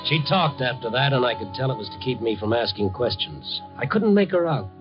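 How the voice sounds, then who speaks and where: A man's voice: mid-range voice, expressive, a little fast, close to an everyday voice. Actor as a hard-boiled newspaperman, old radio sound. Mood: brooding. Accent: American. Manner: wry, hard-boiled, understated. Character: tough, wry, kindly male newspaperman narrating his night story. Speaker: narrator and lead of a radio drama. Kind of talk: 1950s radio drama acting, noir first-person narration. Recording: dull, band-limited 1950 radio recording with music.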